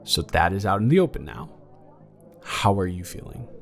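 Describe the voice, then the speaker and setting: A young man playing a caring, awkward ex-boyfriend in an improvised romantic audio roleplay, speaking in a halting, earnest, gently reassuring way. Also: deep voice, big pitch swings, gravelly, exaggerated voice. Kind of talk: improvised romantic audio roleplay, intimate one-sided conversation. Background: background music.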